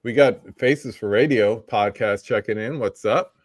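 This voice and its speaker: A middle-aged man hosts a live stream roundtable in a relaxed, easygoing way. His voice sits a little low. The sound is dry and close. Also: measured pace, no room sound. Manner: laid-back, shouting out chat viewers. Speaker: host.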